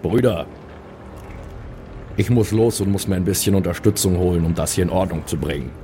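A man's voice: low voice, fairly even intonation, fairly quick, warm tone, slight background noise. A man playing a tabletop role-playing game, talking casually in character in a dry, exasperated way.

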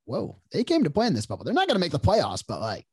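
A nasal man with a head cold hosts a casual sports podcast. His voice sits a bit high and swoops around animatedly. The sound is dry, with no room around it. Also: huge pitch swings, fast talker, breathy edge, dry recording. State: congested with a cold. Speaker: podcast host.

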